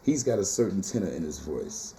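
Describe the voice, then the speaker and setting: A man with a low, mellow tenor-baritone voice speaks with animated, rhythmic emphasis, often circling back on his phrases. The talk is loose and conversational, with a faint hiss of noise behind him. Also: fairly quick. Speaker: poet and teacher being interviewed. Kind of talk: casual conversational interview.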